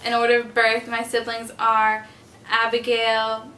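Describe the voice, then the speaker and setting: A woman's voice: on the high side, monotone, unhurried, bright, forceful tone, reverberant, slight background noise. A young woman playing a male satirist author on a school mock talk show, matter-of-fact.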